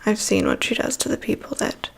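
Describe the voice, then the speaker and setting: A woman playing a chatty, weary vampire's assistant in an ASMR roleplay, in a soft breathy whisper with a confiding manner. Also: close to an everyday voice. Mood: gossipy, wry. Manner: confiding, gossipy, unhurried. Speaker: ASMR performer. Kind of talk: ASMR personal attention roleplay.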